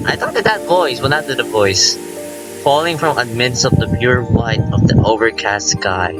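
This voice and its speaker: A man giving casual, rambling let's play commentary, excitable and informal, breaking off his own sentences and reading visual novel text aloud. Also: mid-range voice, moderate pace, low-quality recording. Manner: informal, self-interrupting, excitable. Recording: music playing behind the voice. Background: visual novel game music.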